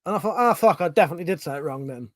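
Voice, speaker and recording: A man's voice: a little high, expressive, very fast, dry recording. A middle-aged man chatting casually on a live stream, reading out chat messages, swearing freely and restarting his sentences.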